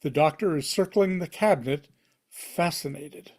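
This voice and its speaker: A man narrates a screenplay for a table read. His voice sits a bit higher than average for a man. He delivers scene headings and action in short, punchy, dramatic phrases. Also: moderate pace, darkish tone. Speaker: stage-direction reader at table read. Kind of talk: reading screenplay directions aloud.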